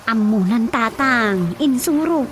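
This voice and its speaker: A woman's voice: on the high side, animated, slow pace, slight background noise. An actress with a Filipino Ilocano accent playing an eager, helpful young daughter pleading with her parents in a radio comedy drama. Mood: coaxing. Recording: light music behind the voice.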